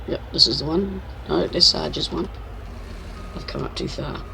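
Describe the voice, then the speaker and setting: A woman's voice: low-pitched, measured pace, pushed and bright, slight background noise. An older woman, chatting casually and teasingly as she streams a farming game. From New Zealand. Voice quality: croaky. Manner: chatty, teasing, narrates her own driving. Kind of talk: casual live-stream chat while playing.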